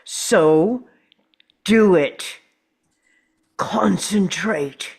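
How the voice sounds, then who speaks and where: An actor in a script table read playing an urgent, stubborn, protective time traveller, speaking earnestly and deliberately. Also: mid-range voice, gravelly, slightly rough, full character voice. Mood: intense, urgent.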